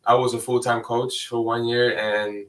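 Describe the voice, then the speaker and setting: A young man from Suriname, a guest on a talk show, giving brief, low-key answers. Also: little pitch movement, moderate pace. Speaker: guest, investor and former coach. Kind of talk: casual interview chat. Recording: distant, roomy remote call audio.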